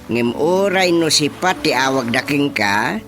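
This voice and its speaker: A man's voice: a little high, lively intonation, measured pace, a bit bright, soft background music. A man with a Filipino accent playing a folksy, easily muddled village chairman in a comedic radio drama. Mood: bemused.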